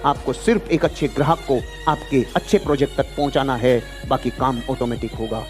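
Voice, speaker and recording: A man's voice: mid-range voice, fairly quick. A man delivering a motivational speech, emphatic and declamatory, repeating phrases for effect. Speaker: sales motivational speaker. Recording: music behind the voice. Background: music.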